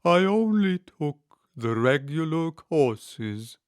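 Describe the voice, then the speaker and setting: Man voicing a slow, mournful storybook turtle. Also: fairly high pitch, sing-song, clear, resonant tone, no room sound, studio quality. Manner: drawn-out, mournful delivery with long stretched words. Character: melancholy, sighing, slow male Mock Turtle. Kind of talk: storybook character reading.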